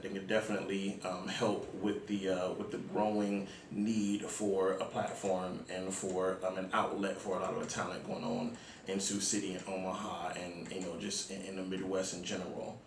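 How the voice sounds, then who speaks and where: Low-voiced man from Miami, echoey room. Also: level tone. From Miami, Florida, United States. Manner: informal slangy, halting with many ums. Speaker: interview guest, music platform founder. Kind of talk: casual interview talk. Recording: echoey room, distant mic, faint noise.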